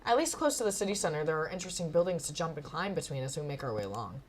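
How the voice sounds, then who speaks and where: A woman's voice: low-pitched, animated, racing, a little hiss or hum. A woman streaming a visual novel, reading narration expressively and breaking off into playful, gushing, casual reactions.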